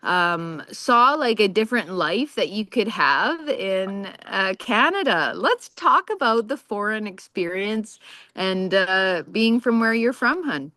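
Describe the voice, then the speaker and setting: A woman's voice: mid-range voice, lively intonation, takes it slowly, pushed and bright, dry recording. A woman interviewing a guest in a bubbly, chatty way, laughing often. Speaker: magazine interviewer. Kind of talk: casual interview chat.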